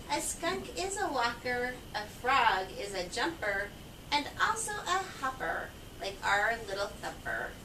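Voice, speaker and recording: A polite, earnest little fawn from a storybook as a woman reads him speaks in a slightly high, slow voice. It was recorded in an echoing room. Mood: dreamy. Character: polite, earnest young male deer fawn. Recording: echoey, distant, noisy home recording.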